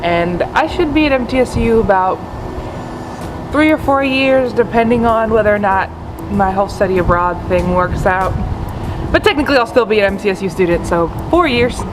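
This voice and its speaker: Casting: young American woman, mid pitch, chatty casual student interviewee, audible background hum. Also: lively intonation, moderate pace. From United States. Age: young adult. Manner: chatty, repeats intensifiers and "like". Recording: clear hum of background noise.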